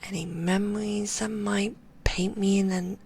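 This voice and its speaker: Whispered ASMR roleplay, woman playing a doting manipulative magician, slow, gravelly fry. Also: medium pitch, fairly even intonation, slight background noise. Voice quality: soft whispering. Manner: cooing, doting and softly condescending. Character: possessive, sweetly manipulative female magician, adult.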